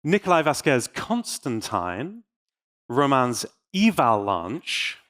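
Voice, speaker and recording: A man's voice: medium pitch, big pitch swings, takes it slowly, fairly bright tone. A man giving an informal conference talk on tech in a playful, dryly joking and mock-defiant manner. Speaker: conference speaker.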